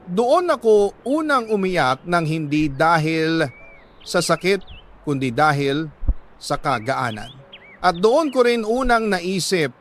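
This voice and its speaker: A Filipino man with a high-pitched voice narrates a heartfelt story as a radio storyteller. His delivery is sentimental and dramatic, with deliberate phrases and weighted pauses. There is noticeable noise behind the voice. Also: a bit bright. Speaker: storytelling radio host reading a listener's letter.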